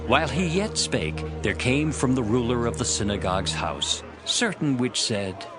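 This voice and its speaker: A man's voice: medium pitch, animated, normal speed. A man narrating scripture as a dramatized story, in a dramatic storytelling manner. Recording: loud music behind the voice. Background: music.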